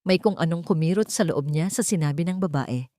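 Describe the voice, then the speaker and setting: A woman's voice: on the low side, a little fast, warm tone, no room sound, studio quality. A woman reading scripted dramatic fiction as an audiobook narrator.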